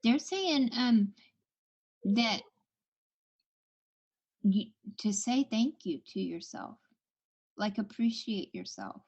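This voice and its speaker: A woman hosting a call-in tarot show, giving reassuring advice in a relaxed, chatty way. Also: fairly high pitch, slow, pauses often, breathy edge, lo-fi sound. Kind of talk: casual advice chat.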